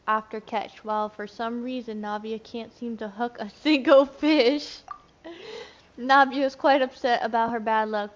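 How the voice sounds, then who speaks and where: High-voiced excitable woman streaming a game. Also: slight background noise, rough recording. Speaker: game livestreamer. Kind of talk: casual game commentary and read-aloud. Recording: game music behind the voice.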